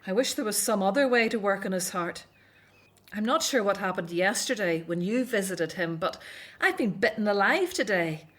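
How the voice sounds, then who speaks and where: A woman's voice: mid-range voice, expressive, moderate pace, fairly bright tone. A woman playing a dutiful, outspoken grown daughter in an audio drama set in ancient Egypt. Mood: weary, complaining.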